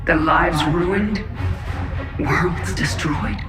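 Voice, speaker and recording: A woman's voice: lowish voice, drawn-out words. An actress playing a battle-hardened warrior queen in a video game, grave, guilt-ridden and determined. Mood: remorseful, resolute. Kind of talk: cinematic video game acting. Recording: echoing voice with music behind.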